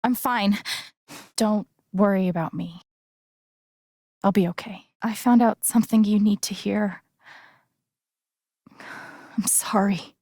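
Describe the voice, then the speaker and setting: A woman's voice: mid-range voice, steady tone, slow pace, pauses often, dark tone, no echo, studio quality. A woman playing an earnest, wounded but defiant young video game heroine, speaking in a plain, emotionally charged way. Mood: reassuring, subdued. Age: young adult. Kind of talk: dramatic video game voice acting.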